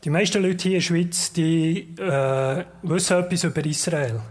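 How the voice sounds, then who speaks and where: A man's voice: fairly high pitch, fairly even intonation. A man interpreting a guest speaker's talk phrase by phrase in a church. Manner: quick rendering, occasional restarts. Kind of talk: live consecutive interpreting.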